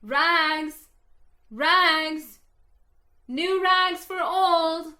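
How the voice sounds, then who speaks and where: A woman voicing a tall, strong, gentle young male ragman with a clear tenor call in a spoken story. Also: drawn-out words, heavily acted. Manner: calls out long and high.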